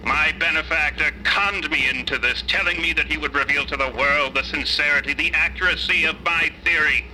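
A man's voice: fairly high pitch, a little fast, few pauses, sharp tone, slight background noise, pitched above the performer's own voice, poor audio. A man voicing the bitter, grandiose ghost of a disgraced male scholar in a tabletop game. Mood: indignant. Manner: grandiose, theatrical, indignant wording. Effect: voice through a ghost-box speaker.

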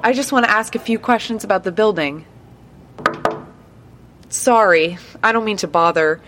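A woman's voice: mid-range voice, normal speed, noisy background, lightly acted. An actress playing a curious, careful female building surveyor in an audio drama, inquisitive and polite. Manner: careful and inquisitive, growing uneasy.

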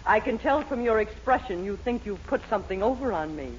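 A woman's voice: medium pitch, animated, brisk, close to an everyday voice. An actress playing a domineering, melodramatic middle-aged wife and mother in a 1940s radio sitcom. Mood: suspicious. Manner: domineering, scolding, theatrical. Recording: noisy, muffled 1940s radio recording.

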